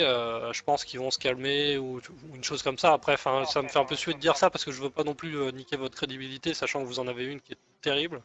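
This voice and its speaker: A man's voice: mid-range voice, fairly bright tone, close to an everyday voice. A man playing a deferential gang negotiator in an online roleplay, speaking in a hesitant, rambling, conciliatory way. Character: deferential male gang negotiator offering an alliance. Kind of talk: improvised online roleplay dialogue. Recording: muffled lo-fi game voice chat.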